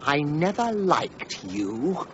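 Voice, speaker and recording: An actor playing a petulant, put-upon devil who whines and sulks, in a radio play. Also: male voice, fairly high pitch, big pitch swings, drawn-out words, noisy background, heavily acted. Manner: whining, sulky complaints. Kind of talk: radio drama acting. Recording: muffled lo-fi radio recording.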